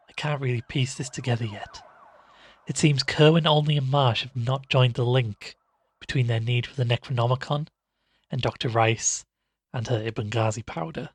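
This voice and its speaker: A man's voice: mid-range voice, slightly rough. A man playing an uneasy, wryly weary investigator in an audio drama, speaking hesitantly. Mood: subdued, brooding. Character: uneasy male investigator narrating his case, reluctant and wry. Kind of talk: audio drama dialogue and first-person narration.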